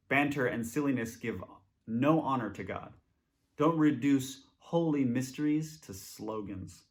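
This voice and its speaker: A man with a mid-range voice reads a scripture passage aloud from a text. He delivers it in short, emphatic phrases. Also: medium pitch. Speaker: scripture reader.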